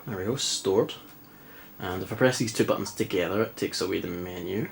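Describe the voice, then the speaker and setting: A man's voice: low-pitched, fairly quick, gravelly, slight background noise. A Scottish man with a stuffy, congested voice, chatting casually and mocking himself while testing an old console in a dim living room. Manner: chatty, self-mocking, rambling. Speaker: retro game vlogger. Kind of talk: casual chat.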